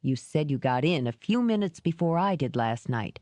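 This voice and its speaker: A woman voicing a gruff, remorseful male ex-cop in an audiobook, speaking low, slow and measured. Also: lively intonation, a little mellow, dry recording. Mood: probing. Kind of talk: audiobook character reading.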